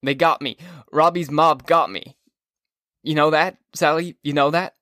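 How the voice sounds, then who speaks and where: A man's voice: on the high side, fairly even intonation, a little slow, fairly bright tone, dry recording, studio quality, voice pushed up. A man doing a slurring, stammering drunk teen boy.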